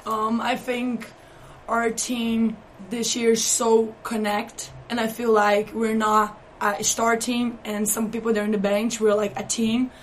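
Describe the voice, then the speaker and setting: Young brazilian woman, college athlete, kinda high voice, talks pretty monotone. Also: measured pace, a little hiss or hum. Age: young adult. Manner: slightly non-native phrasing, earnest. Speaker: college volleyball player. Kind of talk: interview answers.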